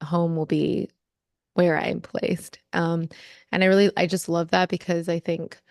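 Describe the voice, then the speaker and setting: A woman's voice: on the low side, flat delivery, creaky, dry recording, studio quality. Young American woman reading a poem. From United States. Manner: measured, thoughtful, rhythmic reading. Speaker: author. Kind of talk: poetry reading and interview.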